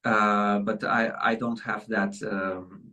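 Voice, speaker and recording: A man's voice: on the low side, flat delivery, slow pace, pushed and bright, distant mic. A man with a Spanish accent, a concert pianist, answering interview questions hesitantly with many fillers.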